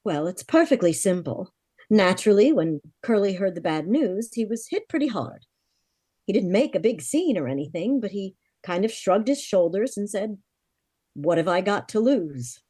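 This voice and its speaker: A woman's voice: medium pitch, sing-song, moderate pace, dark tone, no room sound, studio quality. A woman playing a worried, frank young heiress of twenty-four in a vintage-style radio drama, confiding her story earnestly. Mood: grave, worried.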